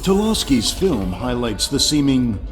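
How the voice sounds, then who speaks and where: A man's voice: medium pitch, big pitch swings, a little slow, soft background music. A man playing a slick, sensational TV news anchor in a video game, polished and dramatic. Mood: probing.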